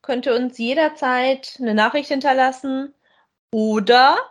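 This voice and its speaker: A woman with a fairly high voice co-hosts a review podcast. She speaks informally, in the conversational style of a chat about audio dramas. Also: unhurried. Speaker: podcast co-host.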